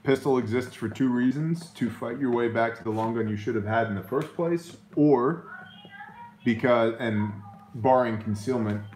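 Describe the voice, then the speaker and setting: A man's voice: mid-range voice, moderate pace, a little mellow, a little hiss or hum, low-quality recording. Blunt man chatting on a live stream. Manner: blunt, reads viewer comments aloud. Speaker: co-host of a live show. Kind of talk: casual live stream chat.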